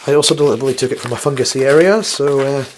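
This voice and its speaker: A man with a slightly croaky, flu-worn voice hosts a casual instructional science vlog, chatty and rambling with wry, self-deprecating asides. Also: medium pitch, rapid-fire, slight background noise. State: getting over the flu. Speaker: host of a science video series.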